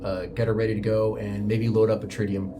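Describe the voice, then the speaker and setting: A game master voicing a middle-aged male starship captain who is a company man, giving low, muttered orders. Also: little pitch movement, a little fast, close to an everyday voice. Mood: businesslike. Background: music.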